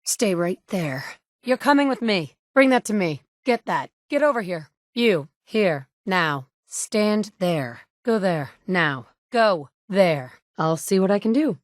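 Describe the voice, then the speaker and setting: A woman's voice: mid-range voice, expressive, slow pace, no room sound, studio quality. A woman voicing a tough, blunt female survivor in a game, with curt, commanding lines. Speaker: player character. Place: recording studio. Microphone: close studio mic.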